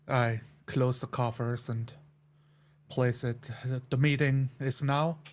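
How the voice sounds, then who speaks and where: A man's voice: mid-range voice, fairly even intonation, takes it slowly. A man taking part in a tabletop roleplay as a player, describing what his character does.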